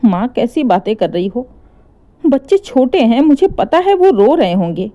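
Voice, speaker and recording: A woman's voice: fairly high pitch, big pitch swings, moderate pace, highs cut off. A woman voicing a distraught young mother pleading anxiously for her children in an emotional story.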